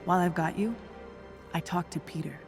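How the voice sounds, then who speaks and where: A woman's voice: fairly low pitch, brisk, soft background music, close to an everyday voice. A woman voicing a tough, smart young scientist in a video game, dry and matter-of-fact. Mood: businesslike. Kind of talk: video game voice acting, naturalistic dialogue.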